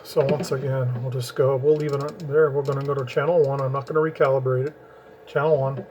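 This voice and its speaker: A man's voice: mid-range voice, little pitch movement, a little fast, darkish tone, slight background noise. A man explaining radio setup in a casual, rambling how-to video while handling gear. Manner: rambling, hands-on explaining with restarts. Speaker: CB radio hobbyist. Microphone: camera mic, handling gear while talking.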